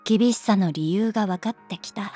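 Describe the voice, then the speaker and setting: An actress playing a calm, guarded young schoolteacher in a Japanese audio drama, speaking softly and frankly. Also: female voice, mid-range voice, soft background music, close to an everyday voice. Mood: wistful, regretful. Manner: unhurried, soft-spoken, frank. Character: adult female homeroom teacher, calm, guarded, gently detached. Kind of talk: Japanese anime-style audio drama acting.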